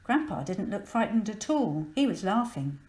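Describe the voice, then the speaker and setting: Expressive woman reading a storybook. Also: medium pitch, normal speed, roomy sound. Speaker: storybook reader. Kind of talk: expressive scripted children's story narration.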